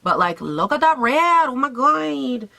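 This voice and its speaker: A young woman with a raspy voice, hoarse from a cold, chatting casually and sassily through a makeup try-on at home. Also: high-pitched, big pitch swings, a little slow, pushed and bright, a little hiss or hum. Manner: chatty, sassy, swears casually. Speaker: beauty vlogger.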